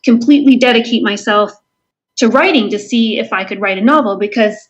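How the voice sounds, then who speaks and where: A woman's voice: on the high side, brisk, muffled recording. A woman from Southern Ontario with a Canadian accent, answering interview questions as an author in an earnest, enthusiastic, conversational way.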